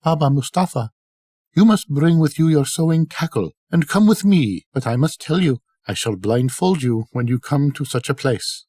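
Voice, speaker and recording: A man's voice: on the high side, lively intonation, normal speed, dark tone, husky, no room sound, studio quality, voice pushed up. An older man voicing a clever, bold young slave woman in a storybook, coaxing and secretive with a pleading manner. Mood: coaxing, firm. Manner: sighing, pleading storybook speech.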